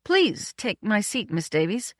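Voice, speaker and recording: A woman's voice: mid-range voice, big pitch swings, unhurried, dry recording, pitched above the performer's own voice. An eager male sidekick from a novel , read by a woman. He is polite and persistently questioning, recorded very dry. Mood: courteous.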